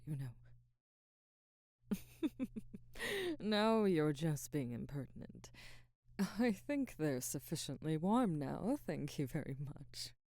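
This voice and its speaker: A woman playing a middle-aged Hollywood actress, wry, flustered and secretly smitten, in an intimate ASMR roleplay with soft, hesitant murmurs that slip toward whispers. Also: sits low, no room sound, studio quality, exaggerated voice. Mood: teasing.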